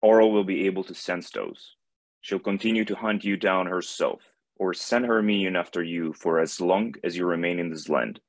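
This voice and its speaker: A man playing a solemn, benevolent god of light with a grave, measured delivery in an animated fantasy table read. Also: low voice, no room sound.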